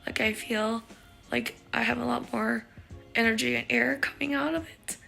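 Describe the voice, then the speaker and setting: A woman vlogger giving a casual recovery check-in, with a raspy, sometimes unclear voice and a halting, filler-heavy way of talking. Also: on the high side, steady tone, bright, forceful tone, soft background music. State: recovering voice after neck surgery.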